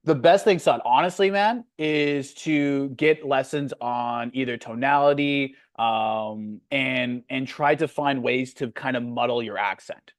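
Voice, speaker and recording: A man's voice: medium pitch, measured pace, few pauses, no room sound, clean studio sound. A man with a Canadian accent, a sales coach hosting a community Q&A call, talking bluntly and frankly, with plenty of fillers.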